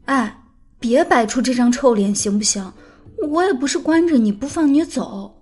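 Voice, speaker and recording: A woman's voice: on the high side, normal speed, darkish tone, slightly rough. An actress playing a spirited, blunt but kind-hearted young woman in an audio drama, playfully scolding. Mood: exasperated. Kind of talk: audio drama character acting.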